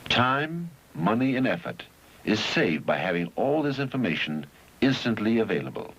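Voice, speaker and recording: A man reading scripted educational film narration in a measured, didactic way with deliberate phrasing, on a 1971 film soundtrack. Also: on the low side, big pitch swings, fairly bright tone, slightly rough, dull audio.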